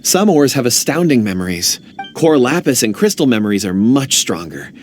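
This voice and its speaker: Polite, thoughtful young man, lively intonation game acting. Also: mid-range voice, moderate pace, soft background music, close to an everyday voice. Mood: earnest.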